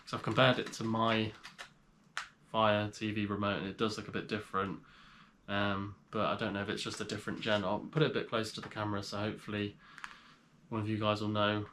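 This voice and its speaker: A man with a cold talks in a slightly nasal, fairly low voice. He rambles in a relaxed, even tone with little rise and fall, pausing often as he shows things to the camera, with faint noise behind him. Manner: relaxed, rambling, with frequent pauses. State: has a cold. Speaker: reseller vlogger. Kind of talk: casual vlog talk showing items to camera.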